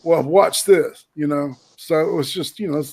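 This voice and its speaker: A man's voice: fairly high pitch, moderate pace, darkish tone, husky. A man telling a story in a casual podcast chat, swearing freely.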